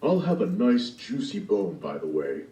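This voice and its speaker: A man voicing a deadpan, matter-of-fact talking dog in a storybook play, recorded in a roomy space. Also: low-pitched, a little slow. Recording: roomy, from far off the mic.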